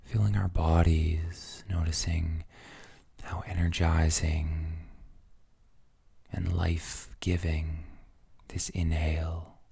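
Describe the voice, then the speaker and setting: Man, guided meditation, dark husky voice, soothing, breathy, near-whispered, unhurried pauses. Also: very deep voice, flat delivery, dry recording.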